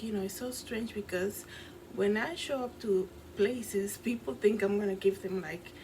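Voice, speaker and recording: A woman with a Dominican accent talks straight to her camera in a casual vlog, rambling earnestly and padding her sentences with fillers. Her pitch sits in an ordinary middle range. A clear hiss of background noise runs under her voice in her apartment. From Dominican Republic. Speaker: vlogger and fashion designer. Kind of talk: casual vlog, recounting a dream.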